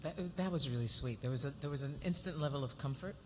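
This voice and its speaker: A woman talking reflectively and haltingly as an actor on a live cast panel. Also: a bass voice. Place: large hall at a live festival event. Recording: echoey hall, light noise.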